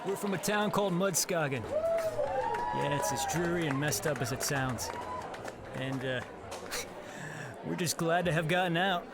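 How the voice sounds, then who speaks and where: An actor playing a wry, weary small-town band member bantering on stage in an audio drama, amid a loud crowded bar. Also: male voice, on the high side, measured pace, pauses often, over a noisy crowd. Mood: wry, relieved. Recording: very noisy, crowded bar ambience.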